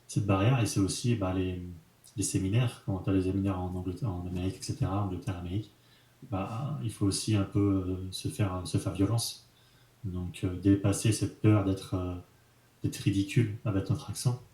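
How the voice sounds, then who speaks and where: A man, talking as an interview guest in a relaxed, frank way, full of fillers and slang. His voice is low and mellow. The room around him echoes noticeably. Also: normal speed. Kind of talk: casual conversational interview.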